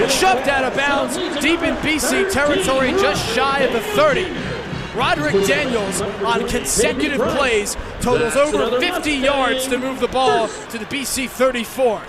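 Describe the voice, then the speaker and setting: Very high-pitched young male football play-by-play announcer. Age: young adult. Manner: clipped, rapid phrases rising into excited shouts. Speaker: student play-by-play broadcaster. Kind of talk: live football radio play-by-play. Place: football stadium broadcast position. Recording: clear outdoor stadium noise behind.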